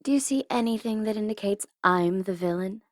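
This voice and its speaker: A woman's voice: mid-range voice, a little fast, dry recording, studio quality, close to an everyday voice. A woman playing a smug tsundere supervillain in an ASMR audio roleplay, taunting and teasing theatrically, at times in a whisper. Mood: mocking, smug. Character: smug tsundere female supervillain gloating over her captive hero.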